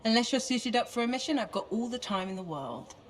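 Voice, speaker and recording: A woman's voice: fairly high pitch, big pitch swings, fast talker, soft background music. An actress playing a curious, determined female mission specialist in a video game, with a wry, questioning manner. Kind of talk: video game cutscene acting.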